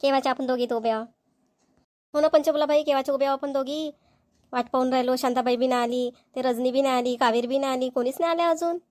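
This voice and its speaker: A woman's voice: high voice, steady tone, fast, darkish tone, dry recording, clean studio sound, close to an everyday voice. A woman voicing a gossipy, impatient village housewife in a cartoon comedy, with a Vidarbha Marathi accent. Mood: impatient, grumbling.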